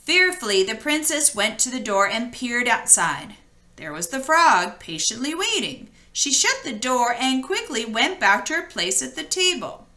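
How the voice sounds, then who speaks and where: A woman reading a fairy tale aloud as an expressive storyteller, with deliberate dramatic emphasis. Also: on the high side. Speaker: storybook reader.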